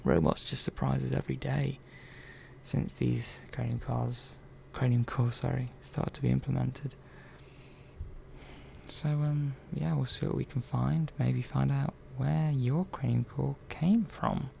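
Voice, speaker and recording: Male technician, acted by a man, soft ASMR drama, heavy creak, mellow tone, halting and quietly protective. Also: mid-range voice, steady tone, a little hiss or hum. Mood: musing. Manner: hesitant, halting, trailing off mid-sentence. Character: earnest, hesitant male robot repair technician, quietly protective. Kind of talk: soft-spoken ASMR roleplay.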